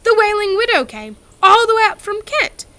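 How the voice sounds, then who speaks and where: A woman voicing a proud, touchy male ghost gentleman in a storybook reading, formal and stiff. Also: very high voice, big pitch swings, takes it slowly, no echo, voice pushed up, full character voice. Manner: formal, stiff, pompous speechmaking.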